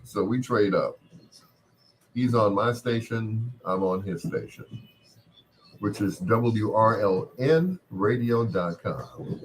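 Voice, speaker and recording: A man with a deep, booming voice, hosting a late-night radio show in a relaxed, chatty way through a processed studio mic. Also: slow pace. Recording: noisy background with booming fireworks. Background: firecrackers booming.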